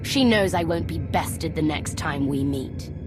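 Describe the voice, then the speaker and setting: An actress playing a proud, vengeful imperial daughter in a dramatic, menacing video game cutscene. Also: female voice, deep voice, measured pace. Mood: cold fury. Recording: loud music behind. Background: music.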